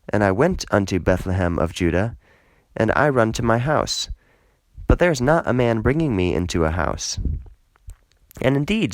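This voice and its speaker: A man's voice: lowish voice, no room sound. A man reading a Bible story, voicing an earnest travelling master who explains that he seeks lodging. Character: weary journeying man, polite master seeking lodging.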